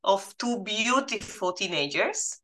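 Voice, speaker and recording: A woman's voice: medium pitch, animated, a little slow, sharp tone, a little hiss or hum. A woman in her forties from the Dominican Republic, a guest answering interview questions in an earnest storytelling way. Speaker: guest, life coach.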